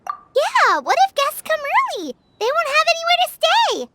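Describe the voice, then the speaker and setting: A woman voicing a tiny, chirpy and blunt fairy companion in a video game, lively and always asking questions. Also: heavy background noise, exaggerated voice. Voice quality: very high, squeaky. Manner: lively, quick to react and ask questions.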